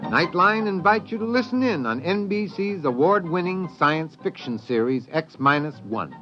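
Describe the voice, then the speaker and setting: A man's voice: fairly high pitch, expressive, normal speed. A man hosting network radio, reading a scripted promo in a 1950s announcing style. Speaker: radio host. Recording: muffled vintage radio, loud music behind.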